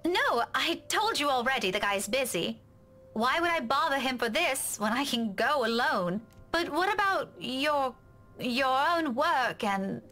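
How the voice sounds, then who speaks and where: A woman's voice: high-pitched, very animated, a little slow, sharp tone, heavily acted. A woman playing a hesitant but dryly teasing young woman in a visual novel game. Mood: flustered.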